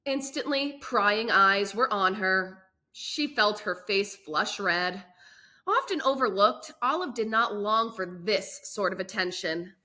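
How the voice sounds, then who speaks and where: Bright-voiced woman reading a story aloud. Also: mid-range voice, expressive, takes it slowly. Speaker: book channel host reading aloud. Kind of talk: expressive children's book read-aloud.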